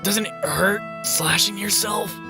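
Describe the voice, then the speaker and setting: A woman in a fan comic dub voicing an excitable, concerned teenage girl game character with exclamatory delivery. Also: medium pitch, slow pace. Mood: shocked, concerned. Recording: music playing over the voice.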